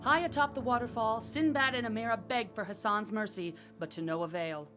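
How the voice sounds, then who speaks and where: A clever female storyteller voiced by an actress, high in pitch and poised. She narrates a radio drama in a measured, dramatic way over loud music. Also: natural voice. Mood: dramatic narrating.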